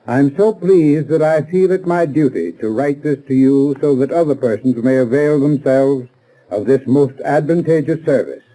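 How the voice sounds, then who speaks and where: A man's voice: medium pitch, moderate pace, dark tone, low-quality recording. An American man reading a scripted 1930s radio advertisement as a spokesman, formal and instructive. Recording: vintage 1930s radio recording.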